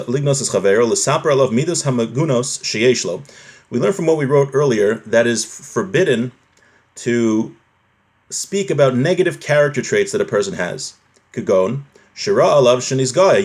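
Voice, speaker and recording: Male religious instructor, mid-pitched voice, didactic delivery, moving between reading a text and explaining it in plain rephrasings. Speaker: religious teacher. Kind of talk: live class, reading a text and translating and explaining it.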